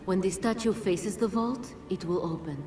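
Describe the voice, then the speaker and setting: A woman playing an ancient, solemn female Jinn guardian in a video game, speaking formally and gravely. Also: mid-range voice, natural voice. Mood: grave, measured. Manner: formal, measured, grave phrasing. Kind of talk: video game cutscene acting. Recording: echoey, distant, loud music behind.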